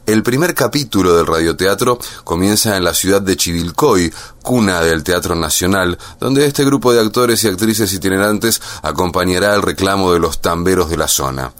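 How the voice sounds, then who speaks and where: A man's voice: low-pitched, normal speed, few pauses. A man reading a scripted, informative radio report as its narrator.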